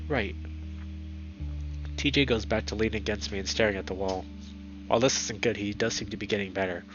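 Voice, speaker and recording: A man reading a visual novel aloud in expressive, dramatic narration, now and then stumbling over words. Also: lowish voice, highs cut off.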